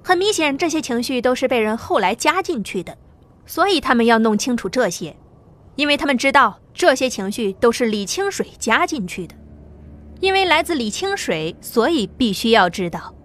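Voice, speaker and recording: Lively woman narrating a sci-fi audiobook. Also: fairly high pitch, moderate pace. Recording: soft background music.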